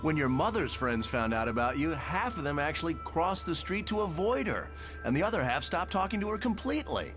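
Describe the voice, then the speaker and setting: Aggrieved middle-aged dad, higher male voice, pleading, pressing, motion comic, music behind. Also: very animated, fairly quick. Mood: exasperated. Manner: pressing, exasperated. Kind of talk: motion comic character acting.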